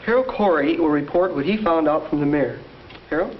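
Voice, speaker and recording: Young man as highish-voiced polite schoolboy chairman. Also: fairly quick, natural voice. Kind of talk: 1950s educational film, formal recited presentation. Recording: muffled 1950s film, faint noise.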